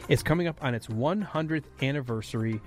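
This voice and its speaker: A man's voice: mid-range voice, brisk, faint music behind. A man in his fifties guest hosting a radio talk show, joking and reading out entertainment news. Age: 50s. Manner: jokey, reads news items aloud.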